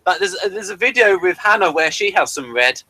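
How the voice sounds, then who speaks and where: Male co-host, slightly high and bright, informal, trips over and repeats his words. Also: lively intonation, fairly quick. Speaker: podcast co-host reviewing a TV series. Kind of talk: casual chat.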